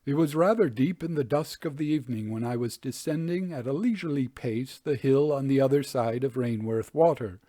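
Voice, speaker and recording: A man voicing an unnerved but skeptical nineteenth-century gentleman traveler, telling his account formally and deliberately in a storybook reading. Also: medium pitch, no echo, clean studio sound, natural voice. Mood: hushed suspense.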